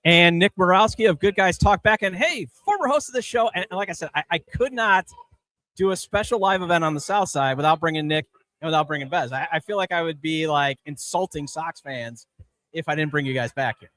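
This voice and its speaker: Podcast host guy, talks fast, voice a bit high, rambles through his questions, dry sound. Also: expressive, pushed and bright, dry recording, rough recording. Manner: rambling, repeats and restarts phrases. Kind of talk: casual live interview.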